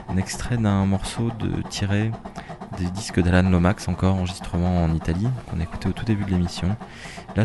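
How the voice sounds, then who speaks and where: A man hosting a community radio show, casually back-announcing the tracks with many hesitations and restarts. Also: low voice. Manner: relaxed and hesitant, with fillers and restarts. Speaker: radio host. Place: bar toilets in the suburbs of Brussels. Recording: noisy ambience from a bar's toilets behind the voice.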